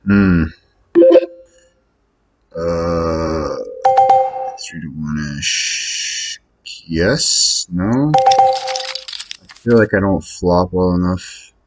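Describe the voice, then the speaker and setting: A man's voice: low-pitched, extremely slow, pauses often, background noise. A man muttering his way through casual think-aloud commentary as he plays online poker, hesitant and questioning himself. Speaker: online poker player recording own session.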